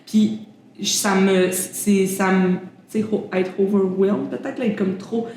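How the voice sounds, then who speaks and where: A woman's voice: medium pitch, a little slow, a little mellow. A young woman with a Québécois French accent hosting a casual interview, chatty, laughing often and restarting her sentences. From Quebec, Canada. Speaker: interview host. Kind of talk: casual conversation. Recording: very roomy echo, faint background hum.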